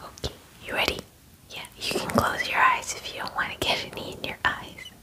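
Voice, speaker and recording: A woman in her twenties whispering a gentle, soothing ASMR personal-attention roleplay in a breathy voice, close to the mic. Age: 20s. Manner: gentle, soothing, unhurried reassurance. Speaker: ASMR artist playing a spa groomer. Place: small room at home, indoors. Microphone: close microphone, near the camera.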